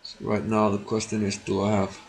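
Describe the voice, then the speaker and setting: A man's voice: lowish voice, monotone, fairly quick, a little mellow, a little hiss or hum. A man with an Icelandic accent giving casual live commentary as he streams a game, muttering and repeating his own phrases, thinking aloud. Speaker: chess streamer.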